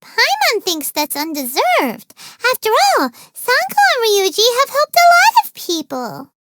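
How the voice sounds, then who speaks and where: A woman voicing a tiny childlike fairy companion in a video game, squeaky, bubbly, boastful and naive. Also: unhurried, a little hiss or hum, full character voice. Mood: earnest. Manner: refers to self in third person, exclamatory, excitable. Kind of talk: video game character voice lines.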